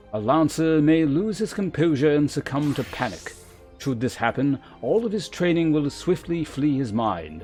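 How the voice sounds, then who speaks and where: A man voicing a stern, demanding lancer guildmaster from a fantasy game, speaking in a formal, measured, commanding manner. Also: on the high side, dark tone, pitched above the performer's own voice. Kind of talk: voiced fantasy game dialogue.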